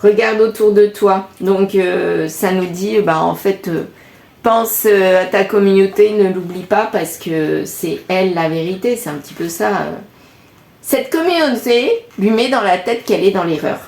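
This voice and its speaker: A woman's voice: medium pitch, measured pace, gravelly, a little mellow. A woman, giving a chatty card reading with lots of rambling self-corrections. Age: 50s. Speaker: card reader. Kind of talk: casual tarot reading monologue. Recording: echoey room.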